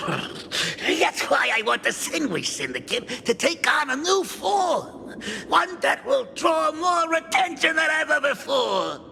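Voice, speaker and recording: A flamboyant gang boss. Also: male voice, extremely high pitch, very animated, slow pace, gravelly, bright, forceful tone, long reverb tail, slight background noise, exaggerated voice. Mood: theatrical. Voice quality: theatrical, laughing, sing-song. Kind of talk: game voice acting.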